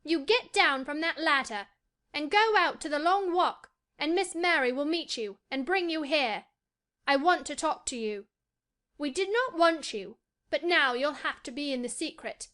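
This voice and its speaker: A woman's voice: high-pitched, expressive, measured pace, sharp tone, voice pushed up, heavily acted. A woman voicing a proud, imperious young boy in a storybook, commanding and indignant. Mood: lordly.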